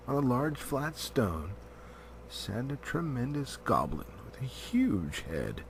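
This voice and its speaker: A man narrating a storybook as an amateur reader, theatrical, with drawn-out words and pauses. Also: mid-range voice, a little hiss or hum. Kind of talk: dramatic storybook reading.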